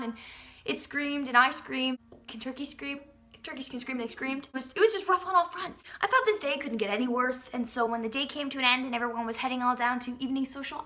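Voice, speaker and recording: A young woman tells a personal story as a vlogger. Her voice sits high and has a bright, forward edge. The delivery is theatrical and emphatic, built for comedic storytelling. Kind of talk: dramatic comedic storytelling to camera.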